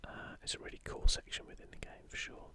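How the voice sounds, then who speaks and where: Hushed, breathy ASMR whisperer. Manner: hushed, unhurried, rambling asides. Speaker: ASMR video host. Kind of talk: whispered ASMR commentary on an art book.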